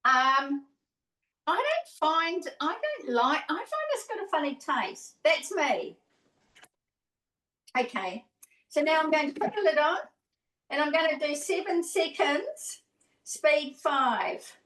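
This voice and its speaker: Chatty lady cooking on a video call, high voice, kinda roomy and off the mic. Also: sing-song, slow pace, pauses often, a bit bright, far from the mic. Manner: chatty, rambling, self-correcting. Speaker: cooking demonstrator. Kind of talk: casual cooking demonstration.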